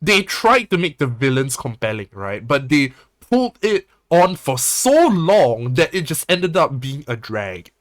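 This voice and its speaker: A young man from Singapore hosting a podcast, chatting casually in a rambling, animated way and swearing often. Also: a little high, measured pace, hardly any pauses, no room sound. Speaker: podcast host. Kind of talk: casual chat.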